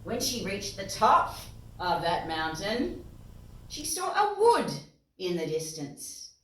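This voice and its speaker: Slow, echoey Australian woman reading to children. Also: mid-range voice, slight background noise. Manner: expressive, asks listeners questions and explains words simply. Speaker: children's storytime presenter. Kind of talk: animated read-aloud storytelling for young children, with songs. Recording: roomy echo, distant mic, guitar music.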